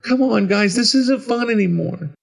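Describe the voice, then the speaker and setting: A man's voice: high voice, expressive, a little slow, creaky, slightly rough, pitched above the performer's own voice, exaggerated voice. A man voicing the ghost of a lonely, abandoned little boy in a horror story, plaintive and pleading. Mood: scared.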